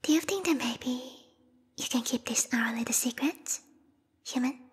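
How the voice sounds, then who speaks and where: Breathy high whispering vampire bat girl, played by a woman. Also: in a large hall. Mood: gloating, conspiratorial. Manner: prim, courtly, slow and menacing. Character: yandere vampire bat girl, bloodthirsty, refined royal guard. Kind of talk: whispered ASMR roleplay. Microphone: close binaural microphone.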